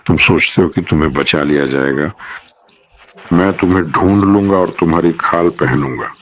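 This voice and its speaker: Man voicing a menacing, extremely deep horror entity. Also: normal speed, dull audio, background noise, natural voice. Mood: menacing threat. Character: menacing possessing entity, coldly threatening, commanding obedience. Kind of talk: analog horror character voice.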